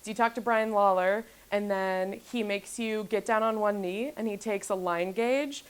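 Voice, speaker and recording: A woman's voice: medium pitch, moderate pace, clear, resonant tone. A young woman, a graduating student, recalling memories in an earnest, affectionate way. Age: young adult. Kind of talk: casual personal reminiscence.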